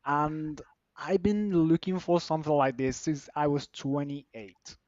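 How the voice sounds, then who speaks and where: A man's voice: on the high side, a little mellow, no room sound, lo-fi sound. Man in his thirties telling his story. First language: Spanish. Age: 30s. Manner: earnest, halting, with restarts. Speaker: team member sharing his story. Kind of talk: personal story on a live stream.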